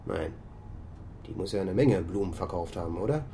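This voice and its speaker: An actor playing a blunt, flippant office colleague with a sarcastic, colloquial way of talking in a German radio crime drama. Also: male voice, sits low, expressive, moderate pace, creaky, dark tone, slight background noise. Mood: flippant, guarded. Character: blunt, sarcastic male call centre colleague, a father.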